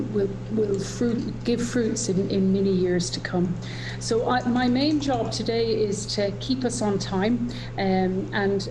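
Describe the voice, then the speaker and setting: A woman chairing a conference, giving opening remarks in a hesitant way with many fillers and restarts. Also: medium pitch. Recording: noisy recording.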